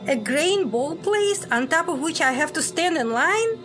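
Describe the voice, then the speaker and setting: A woman playing a brash, sharp-tongued secret agent on the run in an audio drama, blunt and sardonic. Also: sits high, animated, unhurried, over music. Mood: teasing, sardonic.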